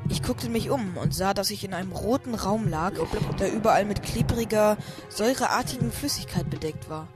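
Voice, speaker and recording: A man's voice: sits high, moderate pace. A man narrating a parody audio drama in the first person, as scripted storytelling. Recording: music under the voice.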